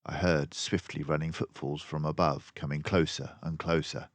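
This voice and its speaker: An older man reading a children's story aloud as an expressive narrator. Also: rumbling low, moderate pace, no echo.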